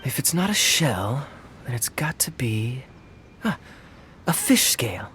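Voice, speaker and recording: A man voicing a suave, playful young knight captain in a video game, with a smooth, theatrical drawl. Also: mid-range voice, big pitch swings, unhurried, a bit bright, slight background noise. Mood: musing. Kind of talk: English video game voice acting.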